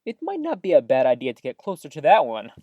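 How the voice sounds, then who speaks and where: Woman acting low voiced small town radio host, folksy n upbeat, chatty news patter, lively pitch, audio drama. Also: quick pace, no echo, narrow, tinny audio, exaggerated voice. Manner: folksy mild exclamations, theatrical news patter.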